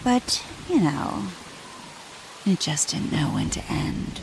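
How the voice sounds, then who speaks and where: A woman voicing a menacing, sadistic female killer in a video game, taunting and sardonic in a deliberate way. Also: low-pitched, sing-song, gravelly, background noise, full character voice. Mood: gloating, amused.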